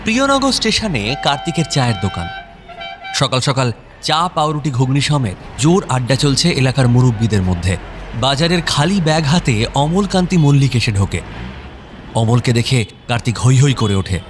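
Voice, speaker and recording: A man's voice: mid-range voice, big pitch swings, normal speed, in a large hall, slight background noise. A man giving dramatic scripted narration as the storyteller of an audio drama.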